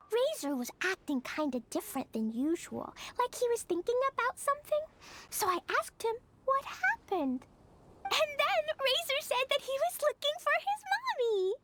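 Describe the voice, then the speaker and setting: A sweet, playful small girl in an anime game. Her voice is extremely high and bounces around in pitch, and she speaks with bubbly, innocent earnestness. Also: background noise. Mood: earnest.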